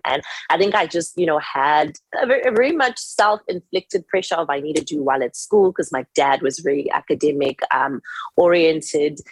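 A woman, a podcast guest, chatting in a relaxed way with lots of fillers and laughs. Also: sits low, breathy edge, dry recording, poor audio. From South Africa.

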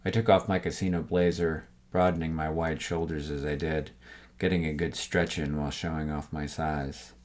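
An extremely low-pitched man narrates serial crime fiction in the first person. He reads from a script as the storyteller, with his voice sitting at the very bottom of the male range. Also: level tone, moderate pace.

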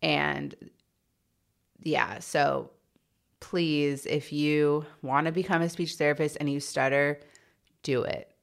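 A woman's voice: low voice, fairly even intonation, takes it slowly, creaky, long pauses. A woman who stutters, hosting a podcast and answering listener questions in a candid, reflective way, with blocks and repeated sounds. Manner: candid and reflective, with many fillers. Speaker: podcast host.

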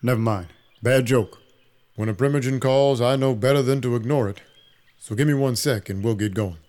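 An actor playing a world-weary vampire detective, narrating an audio drama in dry, deadpan noir style. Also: male voice, mid-range voice, moderate pace, lightly acted. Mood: dry, matter-of-fact. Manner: wry, deadpan. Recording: music playing behind the voice. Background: background music.

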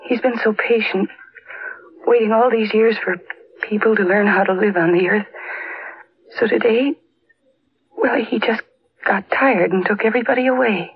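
An actress playing a frightened, earnest young wife in an old-time radio drama, halting and pleading in her delivery. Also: mid-range voice, slightly rough. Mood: awed, earnest. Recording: noisy, dull old radio recording.

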